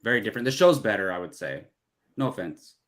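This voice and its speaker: A man's voice: fairly low pitch, animated, quick pace, fairly bright tone. A man chatting casually as a movie show panelist, easygoing and informal. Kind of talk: casual chat.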